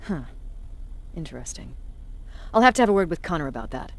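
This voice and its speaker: An actress playing a tough, stern resistance commander in a video game, authoritative, curt and dryly sardonic. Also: female voice, low voice, very animated, fairly quick. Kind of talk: dramatic video game acting.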